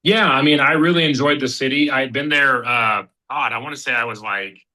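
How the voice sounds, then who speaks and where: A man in his thirties from Kansas City talks loosely as an interview guest. His voice is very bright and ringing at a middling pitch, and he rambles through fillers and restarts. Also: brisk, rough recording. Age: 30s. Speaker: guest, bare-knuckle fighter. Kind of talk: casual interview chat.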